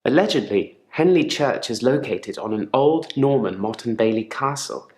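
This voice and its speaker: A man acts out an unsettling male patient confiding in his therapist in a game drama. His mid-range voice is measured and self-controlled, politely probing with a quiet menace. The room around him rings with noticeable echo. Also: medium pitch, expressive, close to an everyday voice. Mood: calm, reflective. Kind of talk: live-action game drama monologue.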